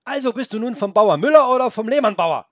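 A man's voice: a little high, expressive, fairly quick, dry recording, clean studio sound, voice pushed up. A man voicing an earnest, insistent young boy in a children's story, emphatic and easily exasperated as he corrects others.

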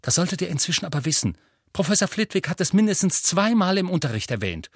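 A man voicing a clever, impatient teenage girl witch with a brisk, know-it-all manner. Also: sits high, sing-song, breathy edge, no room sound, studio quality, pitched above the performer's own voice, exaggerated voice. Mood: irritated. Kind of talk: audiobook character voice.

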